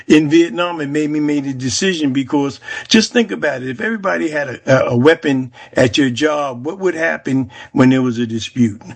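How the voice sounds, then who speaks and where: An American man in his seventies from New Jersey, recounting his life in an interview with a reflective, halting delivery and frequent pauses. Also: fairly high pitch. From Jersey City, New Jersey, United States. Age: 70s. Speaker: interviewee, Vietnam War veteran. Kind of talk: personal oral history interview.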